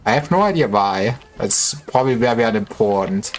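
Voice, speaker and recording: A man's voice: mid-range voice, expressive, normal speed. A man giving casual gameplay commentary on a livestream, musing wryly to himself. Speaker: game livestreamer.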